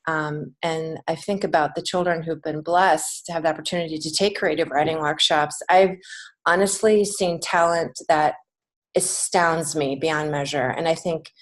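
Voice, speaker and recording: A woman's voice: low-pitched, fairly even intonation, normal speed. A woman speaking as a podcast guest in a conversational interview, earnest, reflective and emphatic. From United States. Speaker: podcast guest, children's author and creative writing teacher.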